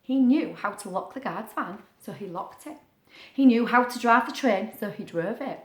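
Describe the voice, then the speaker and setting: A woman reading a picture book aloud to young children, expressive, with chatty asides and questions. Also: a little high, moderate pace. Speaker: children's story reader. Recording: roomy, echoing sound.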